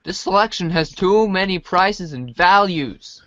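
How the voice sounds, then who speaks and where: A man's voice: high-pitched, animated, measured pace, pushed and bright, dull audio. A man reading a comic story aloud as part of a podcast reading group. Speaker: podcast reader. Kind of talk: dramatic reading of a webcomic aloud.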